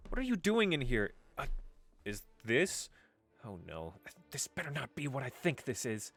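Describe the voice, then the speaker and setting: A man's voice: mid-range voice, huge pitch swings, moderate pace, fairly bright tone, soft background music, heavily acted. An actor playing a wry, exasperated young man in an audio drama, with a theatrical, sarcastic delivery. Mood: alarmed, sarcastic.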